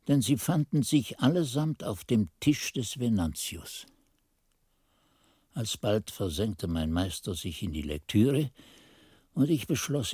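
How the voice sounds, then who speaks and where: Mellow monk narrator, expressive. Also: male voice, lowish voice, breathy edge, no echo, studio quality, full character voice. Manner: literary, measured storytelling. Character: male monk-pupil recounting his master's investigation. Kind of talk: scripted radio play narration.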